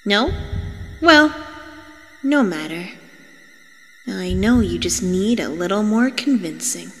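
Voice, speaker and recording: A woman voicing a sinister, mocking demonic child in an animated comic dub, taunting with drawn-out menace. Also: mid-range voice, a little hiss or hum. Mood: menacing, coaxing. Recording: long reverb over music.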